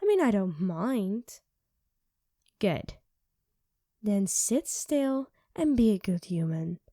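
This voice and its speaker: A woman voicing a sardonic, teasing female vampire who mocks and coaxes in an ASMR roleplay, dropping into soft whispers, close to the mic. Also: mid-range voice, big pitch swings, takes it slowly, dry recording. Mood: coaxing.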